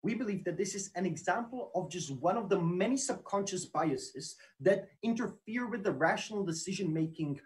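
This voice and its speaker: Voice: mid-range voice, expressive, moderate pace, few pauses. A debater giving a formal, structured competitive speech, signposting each point, over a video call. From Czech Republic. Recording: loud background noise.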